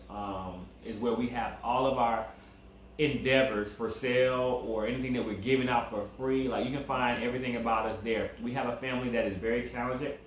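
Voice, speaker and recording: A man co-hosting a casual couple chat, relaxed and rambling, with fillers and repeated phrases. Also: medium pitch. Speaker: co-host. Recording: very echoey room with faint background noise.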